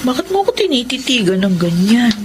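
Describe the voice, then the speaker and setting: A woman's voice: on the high side, big pitch swings, a little fast. An actress playing a torn, defensive young wife and mother in a radio drama, with an emotional delivery. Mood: tender concern. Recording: noisy radio drama recording.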